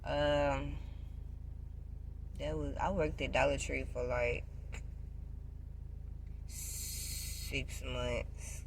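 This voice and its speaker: A woman in her twenties chatting casually to camera, giving job advice, loud and playful and repeating herself often. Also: a bass voice, steady tone, very slow, creaky, pauses often, slight background noise, rough recording. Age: 20s. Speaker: vlogger.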